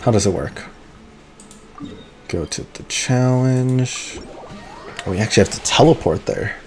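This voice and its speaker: A man streaming a video game live, chatting casually and muttering to himself between bursts of excitement. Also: medium pitch, a little slow, slight background noise. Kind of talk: live gameplay commentary.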